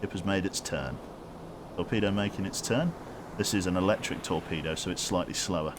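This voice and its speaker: A man streaming a submarine game, calmly giving orders aloud and commenting as he plays. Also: low-pitched, brisk, noisy background. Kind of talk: gameplay commentary. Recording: game music loud behind.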